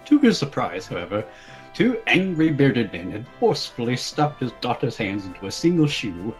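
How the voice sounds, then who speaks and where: A man's voice: mid-range voice, very animated, normal speed, faint music behind, rough recording. A man giving a dramatic reading of a comic fantasy story as its narrator. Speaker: story reader.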